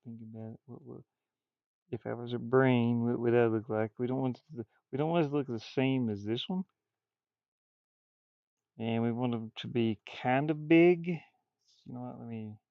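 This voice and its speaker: A man with a mid-pitched voice talks casually to a stream while he works on a drawing. He mutters and thinks aloud in a folksy, informal way. The sound is dry and slightly dull, like a modest microphone. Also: normal speed, creaky, pauses often, dry recording. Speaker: streamer and hobby artist.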